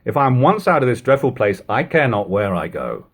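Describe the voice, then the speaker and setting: A man's voice: medium pitch, very animated, a little fast. A man voicing a defiant, practical ex-soldier turned baker in an audiobook of an old novel, speaking emphatically and argumentatively. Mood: defiant, indignant. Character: defiant, practical ex-soldier biscuit baker, plain-spoken Londoner.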